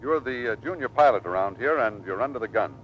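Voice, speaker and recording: Male squadron commander man acting, authoritative and animated, stern yet fair, old radio serial. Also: on the low side, quick pace, dull audio, close to an everyday voice. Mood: gruffly kind. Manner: clipped, authoritative. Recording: faintly noisy vintage radio recording.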